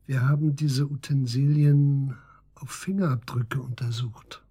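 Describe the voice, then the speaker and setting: An actor playing a persistent police detective questioning a suspect in a radio drama, matter-of-fact and probing. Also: male voice, mid-range voice, fairly even intonation, measured pace, mellow, breathy edge. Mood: insistent, matter-of-fact. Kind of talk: naturalistic German radio drama acting.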